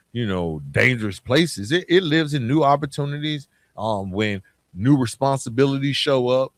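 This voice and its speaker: A man's voice: medium pitch, no room sound, clean studio sound. An American man hosting a podcast, speaking in a casual motivational monologue, emphatic and colloquial, putting questions straight to the listener. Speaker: podcast host.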